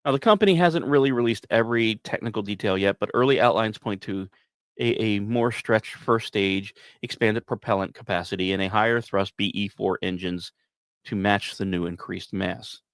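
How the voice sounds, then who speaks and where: A man hosting a podcast, reading scripted narration in a measured, dramatic way with emphatic pauses and casual asides. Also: fairly low pitch, dry recording. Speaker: podcast host.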